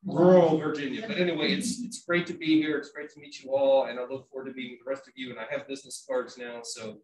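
Fast-talking joking man, echoey and distant. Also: medium pitch, fairly even intonation, crowd noise. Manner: quick, joking. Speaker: community outreach coordinator. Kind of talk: self-introduction at a meeting. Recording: echoey, from across the room.

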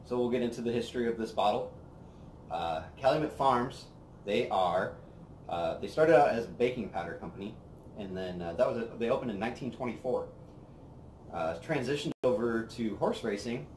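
A young man hosting a whiskey review, chatting casually on an outdoor patio, relaxed and rambling with plenty of fillers. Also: medium pitch, moderate pace, a little hiss or hum. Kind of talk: casual tasting chat. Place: outdoor patio by a brick wall. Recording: roomy outdoor sound with faint ambience.

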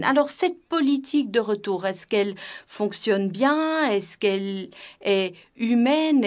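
A woman giving simultaneous interpretation of an online conference, pausing often with hesitant fillers as she searches for words. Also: fairly high pitch. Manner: hesitant, frequent filler pauses.

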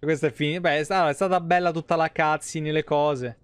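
A man's voice: fairly high pitch, steady tone, brisk, clear, resonant tone, no echo. A young man doing casual, slangy live game commentary with some swearing. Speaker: game streamer. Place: small indoor room, webcam stream.

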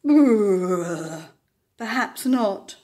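A woman's voice: medium pitch, lively intonation, extremely slow, mellow. A woman voicing a sleepless little male sheep in a storybook, fretful and puzzled, wondering aloud. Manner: wondering aloud, exclaiming and sighing.

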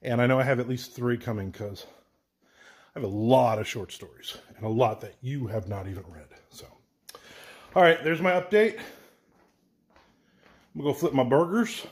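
Man, forties, casual blunt rambling update, phone at arm's length, middle pitch. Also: lively intonation, normal speed, long pauses, breathy edge. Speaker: author vlogger. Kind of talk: casual walk-and-talk update. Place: home, indoors and backyard. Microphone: phone held at arm's length.

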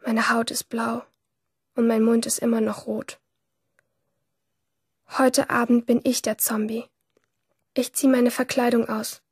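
A woman's voice: a little high, flat delivery, unhurried, pauses often, slightly rough, no echo, clean studio sound. An actress playing an anguished teenage girl in a radio play, narrating her inner thoughts in short, intense phrases. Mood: shaken, subdued. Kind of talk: radio play interior monologue. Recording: music behind the voice.

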